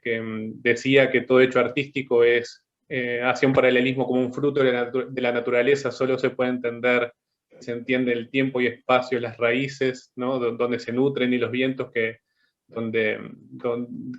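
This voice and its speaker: A man, an artist speaking on a panel over a video call, thoughtful and halting as he searches for words. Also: mid-range voice, muffled recording. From Uruguay. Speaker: artist guest. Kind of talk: panel discussion.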